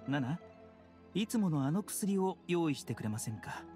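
An actor playing a calm, courteous young male doctor in a video game, speaking politely and in a measured, formal way. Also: a little high. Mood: gentle, instructing. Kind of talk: Japanese video game voice acting. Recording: studio voice over game music. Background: background music.

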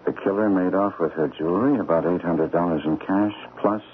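Guy acting a jittery guilty businessman, deepish voice, old radio play, tinny sound. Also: a little fast, natural voice. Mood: reading aloud. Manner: anxious, questioning. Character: nervous, guilt-ridden male stockbroker. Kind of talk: radio mystery drama acting. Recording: muffled, noisy old radio broadcast.

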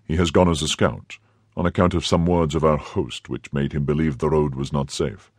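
A man voicing a blunt, easygoing musketeer who answers plainly and matter-of-factly in a very deep, husky voice. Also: fairly even intonation, moderate pace, a little mellow, no echo, clean studio sound.

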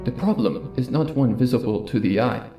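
Solemn zebra seer, played by a male narrator, cryptic and measured, fan-fiction storytelling over music. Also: medium pitch, warm tone, voice pushed down, close to an everyday voice.

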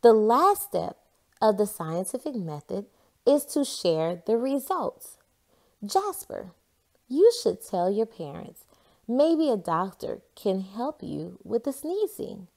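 Woman reading as Margaret, an animated, eager girl scientist. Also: mid-range voice, slow, vocal fry, full character voice. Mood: helpful, upbeat. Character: Margaret, eager confident young girl scientist, storybook narrator-heroine.

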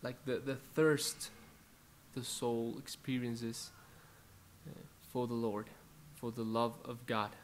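A young man in his twenties from Colombia, Spanish-speaking by birth, with a Colombian Spanish accent, introducing worship songs at home in a soft-spoken, halting way. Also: medium pitch, level tone, a little hiss or hum. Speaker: worship musician. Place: living room at home, indoors. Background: faint acoustic guitar.

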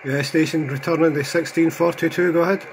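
A man's voice: a little high, fairly even intonation, brisk. A man with a Scottish accent making CB radio calls with callsigns. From Scotland. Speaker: CB radio operator. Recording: noisy CB radio hobby recording, loud static.

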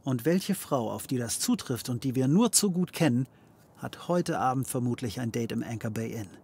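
Husky, sardonic private eye. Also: male voice, mid-range voice, expressive, moderate pace, dark tone. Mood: sardonic, patronising. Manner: wry, theatrical, mock-patronising. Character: sardonic, scheming, cocky male occult private detective. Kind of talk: German comedy audio drama acting.

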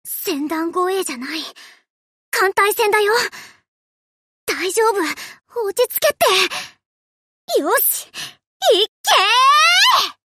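Very high, boyish anime ship girl. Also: sing-song, slow, long pauses, sharp tone, heavily acted. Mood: determined, spirited. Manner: playful, giggly, casual tomboyish speech. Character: cheerful, boyish, affectionate young destroyer ship girl. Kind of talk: anime game character voice lines.

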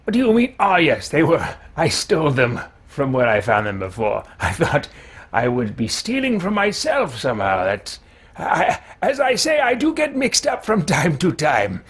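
Man playing a husky, musing fantasy wizard. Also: fairly high pitch, huge pitch swings, fairly bright tone, full character voice. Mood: puzzled, musing. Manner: formal, deliberate, musing, theatrical. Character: fussy, absent-minded, genteel fantasy wizard. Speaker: let's-play streamer roleplaying his game character. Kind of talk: in-character game roleplay commentary. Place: small indoor room at home.

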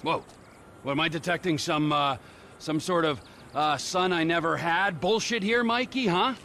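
An actor playing a volatile, unhinged middle-aged criminal in a video game, mocking and swearing in a manic way. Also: male voice, fairly high pitch, normal speed, bright, forceful tone, a little hiss or hum. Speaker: game character.

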